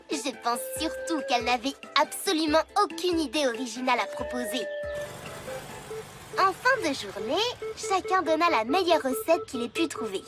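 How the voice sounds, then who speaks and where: Brief: snooty little schoolgirl narrator, shrill and high, scornful asides, music under. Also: expressive, measured pace, pauses often. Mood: sneering. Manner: sly, sneering commentary. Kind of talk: cartoon dub narration.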